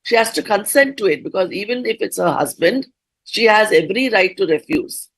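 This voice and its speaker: Measured woman doctor explaining. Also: medium pitch, expressive, fairly bright tone, breathy edge, low-quality recording. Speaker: doctor, expert guest. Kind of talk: TV interview answers.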